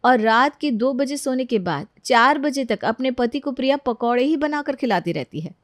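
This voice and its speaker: A woman's voice: sits high, lively intonation, moderate pace, no echo. A woman narrating a scripted story expressively as a storyteller.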